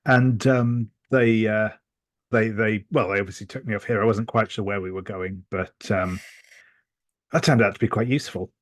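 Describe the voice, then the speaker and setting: A man with a fairly low voice, recorded very dry, plays a character in a tabletop game. He speaks conversationally and haltingly, feeling his way through each sentence. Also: steady tone, no echo. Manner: halting, hesitant. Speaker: tabletop roleplaying player.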